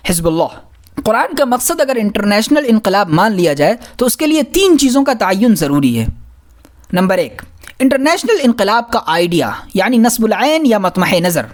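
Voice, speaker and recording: A woman reading a scholarly religious audiobook in a measured, formal manner. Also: mid-range voice, big pitch swings. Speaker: audiobook reader. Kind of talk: scripted narration of a scholarly religious book.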